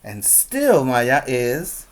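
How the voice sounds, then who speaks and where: A preschool teacher reading a storybook aloud and explaining it to young children in deliberate, simple phrases, repeating words for emphasis. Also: medium pitch, wildly swooping pitch, a little hiss or hum.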